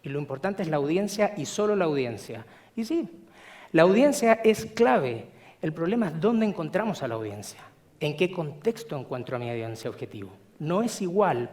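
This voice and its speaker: A middle-aged man from Chile with a Chilean accent, giving a measured, explanatory conference presentation with frequent filler sounds. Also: fairly high pitch, darkish tone. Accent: Chilean Spanish. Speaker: conference speaker. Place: conference hall.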